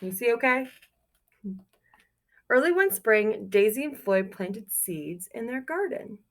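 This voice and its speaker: A woman reading a picture book aloud to young children like a teacher, expressive and encouraging, pausing to put questions to her listeners. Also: fairly high pitch. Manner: expressive, encouraging, exclaims softly over pictures.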